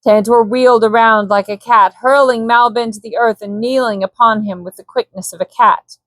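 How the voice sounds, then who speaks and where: A woman reading a scripted bedtime story as a calm audiobook narrator. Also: on the high side, normal speed, dry recording.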